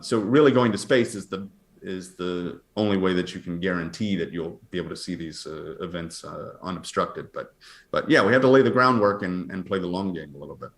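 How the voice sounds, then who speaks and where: A man speaking as an astronomer guest, explaining science conversationally in a halting, thinking-aloud way. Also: low-pitched. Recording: roomy, echoey sound.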